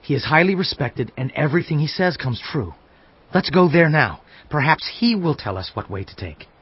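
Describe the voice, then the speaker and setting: Hopeful servant in bible audio drama, raspy highish voice, lively and persuasive. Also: male voice, normal speed. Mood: eager, hopeful. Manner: eager, persuasive. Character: helpful, hopeful male servant of ancient Israel. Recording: slightly dull sound.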